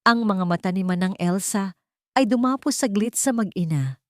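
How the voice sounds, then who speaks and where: A woman's voice: mid-range voice, normal speed, no echo, clean studio sound. A woman reading a scripted, dramatic story as its narrator.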